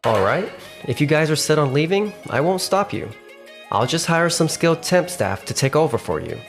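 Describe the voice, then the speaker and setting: A man playing a firm but polite sales manager in a manga dub, measured and businesslike. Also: on the high side, sing-song, soft background music. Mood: calm, resolute. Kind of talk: manga dub acting and narration.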